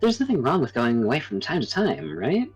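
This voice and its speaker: Someone voicing a husky, wistful young ranger. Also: medium pitch, wildly swooping pitch, a little fast, faint music behind. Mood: yearning. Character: wistful young forest ranger longing for adventure.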